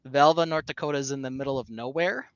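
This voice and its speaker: A man's voice: medium pitch, quick pace, clean tone, dry recording, muffled recording. A man co-hosting a casual live stream, rambling along with plenty of fillers and restarts. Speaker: co-host.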